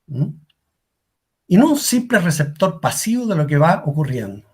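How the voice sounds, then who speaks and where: A man's voice: fairly high pitch, big pitch swings, normal speed, breathy edge. A middle-aged man giving a career workshop talk as a coach, asking rhetorical questions and repeating key lines for emphasis. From Chile.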